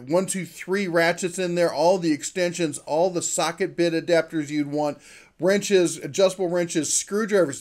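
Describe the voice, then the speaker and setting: A man's voice: on the high side, a little mellow. Chatty man running through tool deals. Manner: chatty, upbeat, talks through price math aloud. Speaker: tool channel host. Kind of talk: casual product deal rundown.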